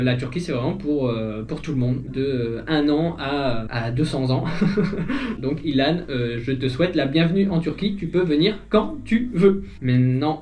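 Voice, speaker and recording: A man's voice: fairly high pitch, a little mellow. A man from northern France, a vlogger chatting casually as he answers viewer questions, with many hesitations and fillers. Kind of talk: casual chat.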